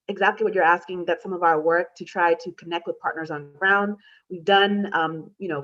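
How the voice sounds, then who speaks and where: American female panelist, thirties, lowish voice, explanatory delivery, slightly muffled online-call sound. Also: a bit bright. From United States. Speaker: panelist, community organization founder. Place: room indoors, video call. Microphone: computer mic on a video call. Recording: slightly dull video-call audio.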